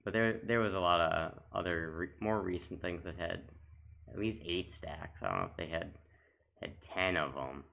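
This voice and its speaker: A man's voice: sits low, moderate pace, vocal fry, sharp tone. A man giving casual, off-the-cuff commentary as a gaming video host, talking in a rambling, reminiscing way.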